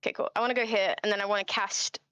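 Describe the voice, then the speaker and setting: A woman's voice: medium pitch, fairly even intonation, rapid-fire, fairly bright tone, clean tone, no room sound. A woman playing a tabletop game, chatting casually and asking tentative questions like a newcomer. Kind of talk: casual chat.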